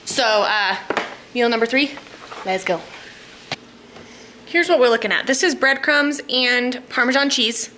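A young woman talks through her cooking in a bright, high-ish voice, chatty and a little flustered, poking fun at herself. The sound comes from a camera set up across a small home kitchen, so it rings with room echo. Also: a little slow, long pauses, slight background noise. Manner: self-deprecating, flustered, chatty. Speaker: cooking vlogger. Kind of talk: casual cooking vlog. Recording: echoey kitchen, far camera mic.

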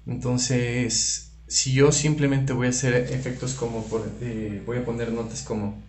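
Male guitar instructor, mid-pitched, halting explanatory delivery, roomy sound with guitar behind. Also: level tone. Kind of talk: informal product tutorial. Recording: roomy, reverberant room sound. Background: electric guitar playing.

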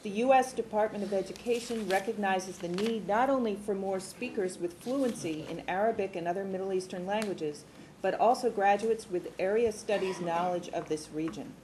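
A woman's voice: mid-range voice, normal speed, background noise. A woman in her sixties reading formal program summaries at a board meeting in a large conference room, on a table microphone. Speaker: university administrator presenting programs.

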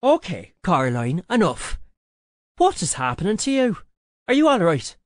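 A woman plays a concerned older aunt in audiobook fiction. She is motherly and direct, gentle but firm, with lively rises and falls and a dry sound. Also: fairly low pitch, normal speed, dry recording. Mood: worried.

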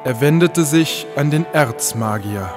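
A man's voice: medium pitch, expressive, a little slow. A man narrating an audio drama as a scripted, suspenseful story in a portentous manner. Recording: loud music under the voice.

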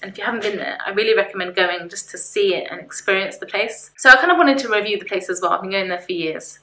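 Young female vlogger, casual and a little hesitant, bright tone, recorded in an echoey room. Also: mid-range voice, fast talker. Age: young adult. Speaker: vlogger reviewing restaurants. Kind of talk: casual chat to camera. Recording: roomy echo, faint background hiss.

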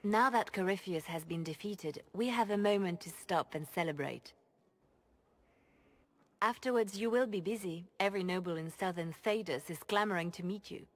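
A woman's voice: fairly low pitch, natural voice. A woman playing a poised, formal spymaster. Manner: measured, formal, lightly amused. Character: composed female spymaster, loyal and wry. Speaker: spymaster advising the Inquisitor. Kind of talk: video game cutscene voice acting.

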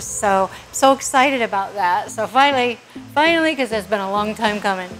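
A woman's voice: fairly high pitch, fairly bright tone, poor audio. A middle-aged woman, a bakery owner, giving a casual walking tour of her large restaurant, chatty and upbeat with many fillers.

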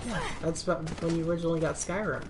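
Flat, low-pitched woman chatting over game noise. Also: rapid-fire. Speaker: streamer playing a co-op video game. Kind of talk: casual gaming chat. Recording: clear game sound behind the voice. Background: video game sound effects and ambience.

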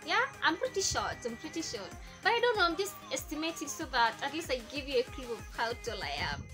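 A woman's voice: high-pitched, very animated, brisk, music in the background. A Kenyan woman in her twenties chatting to the camera, answering get-to-know-me questions and repeating herself as she goes. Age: 20s. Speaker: vlogger. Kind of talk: casual chat to camera. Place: indoors in front of a patterned backdrop. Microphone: camera facing her at close range.

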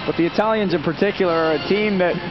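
A man from the United States doing brisk, analytical color commentary on ice hockey replays in an arena commentary booth. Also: high-pitched. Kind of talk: sports commentary. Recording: dull broadcast audio with music behind.

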